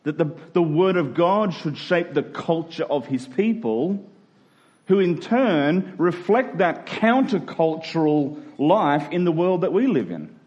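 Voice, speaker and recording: An Australian man preaching a sermon as a church pastor, earnest and emphatic with deliberate phrasing. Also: on the high side, expressive, darkish tone, muffled recording.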